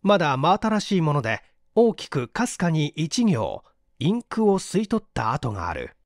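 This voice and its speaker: Male audiobook narrator for mystery fiction, animated dramatic read, dry studio-like sound. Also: fairly high pitch, moderate pace, no echo.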